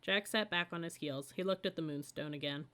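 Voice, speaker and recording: Woman narrating a children's story, lowish voice. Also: a little fast, a bit bright. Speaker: audiobook reader.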